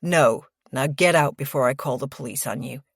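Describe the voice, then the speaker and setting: A woman's voice: extremely low pitch, moderate pace, creaky, breathy edge, no echo, studio quality, pitched below the performer's own voice, exaggerated voice. A woman voicing a curt, protective, angry young man in an audiobook, blunt and snapping.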